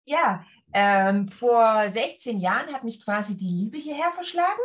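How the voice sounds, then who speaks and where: A woman in her forties from Leipzig, a sewing teacher talking casually on a video call, relaxed with many fillers and hand gestures. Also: a little high, unhurried. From Leipzig, Germany. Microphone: webcam on a video call.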